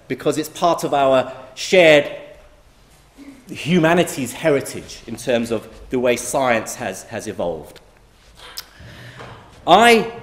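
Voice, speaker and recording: A middle-aged man from Iraq giving a conversational public lecture, with frequent fillers and restarts. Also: medium pitch. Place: lecture hall. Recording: echoey lecture hall.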